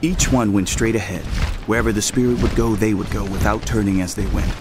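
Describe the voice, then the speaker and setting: A man playing an awestruck prophet in a dramatized scripture reading, recounting visions in a solemn, measured, reverent way. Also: on the low side, steady tone, noisy background, natural voice. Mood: awed, measured. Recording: loud music and effects behind voice.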